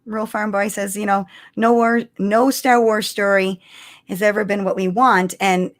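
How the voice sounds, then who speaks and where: A woman's voice: medium pitch, flat delivery, normal speed. A woman chatting casually and expressively as co-host of a fan livestream.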